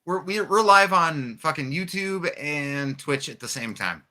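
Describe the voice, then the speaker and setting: A man's voice: a little high, lively intonation, unhurried, sharp tone. A man co-hosting a podcast in casual, profane banter, throwing in crude, brash remarks. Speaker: wrestling podcast co-host.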